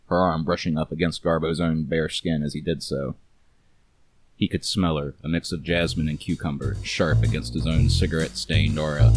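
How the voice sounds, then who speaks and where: Low-pitched man narrating noir fiction. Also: a little mellow. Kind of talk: scripted noir story narration.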